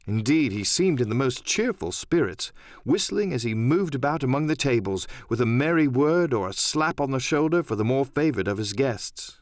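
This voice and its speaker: A man's voice: mid-range voice, animated, normal speed, dry recording, clean studio sound. A man narrating an adventure audiobook in a dramatic first-person storytelling style.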